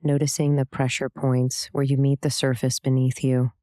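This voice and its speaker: A woman leading a guided meditation, giving calm, gentle, soothing instructions with pauses between phrases. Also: rumbling low, dry recording, clean studio sound. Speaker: meditation guide, yoga teacher.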